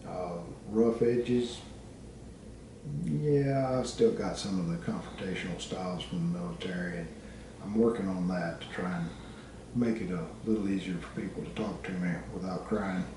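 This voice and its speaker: A middle-aged man giving a personal, reflective presentation as a student, speaking haltingly in short phrases with pauses. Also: low-pitched. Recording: very echoey, distant microphone, background noise.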